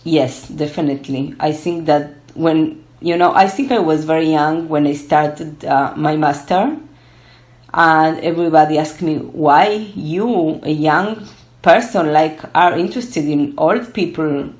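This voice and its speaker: A young woman from Argentina speaks English with an Argentine Spanish accent, her first language being Spanish. Her voice sits low and she talks at an unhurried pace, pausing often with fillers and restarting phrases as she answers questions. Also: a little mellow. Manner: hesitant, many fillers and restarts. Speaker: guest, gerontology researcher. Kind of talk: casual interview.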